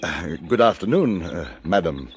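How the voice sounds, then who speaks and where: An actor playing a polite, reserved English gentleman reporter, narrating and acting in an old radio western. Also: low voice, lively intonation, a little slow, slight background noise. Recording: old radio broadcast with music.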